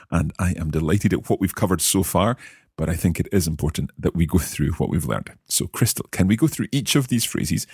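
A man's voice: low voice, breathy edge, no echo, clean studio sound. Scottish man hosting a language lesson. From Scotland. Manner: upbeat, careful, repeats phrases slowly to practise. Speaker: language course host. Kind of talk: scripted podcast lesson.

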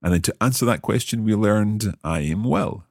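A Scottish man hosting a language podcast, speaking in an upbeat, careful way and practising phrases slowly. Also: lowish voice, expressive, gravelly, dry recording, studio quality. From Scotland. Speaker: language course host. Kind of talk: scripted podcast lesson.

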